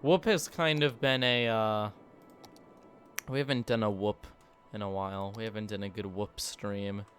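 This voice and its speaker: A man's voice: lowish voice, steady tone, normal speed, a bit bright, soft background music. Joking, sarcastic male streamer. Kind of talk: casual gameplay commentary.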